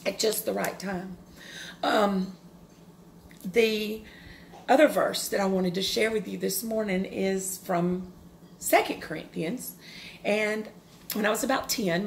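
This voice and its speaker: Earnest woman with a loud, carrying voice. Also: medium pitch, creaky, a little hiss or hum. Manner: earnest and open, with pauses and restarts. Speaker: devotional speaker. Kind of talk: personal devotional talk.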